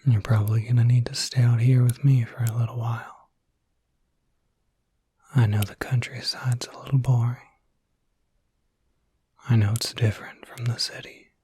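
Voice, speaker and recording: A man playing a gentle, reassuring werewolf caretaker in an ASMR roleplay, speaking in a soft, breathy, soothing whisper with small hesitations, close to the mic. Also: medium pitch, little pitch movement, normal speed, long pauses, dry recording.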